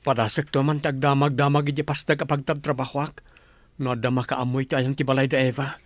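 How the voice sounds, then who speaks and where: A man's voice: medium pitch, level tone, brisk, no room sound, natural voice. A man playing a worried, devoted young son in an audio drama, speaking earnestly. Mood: anxious.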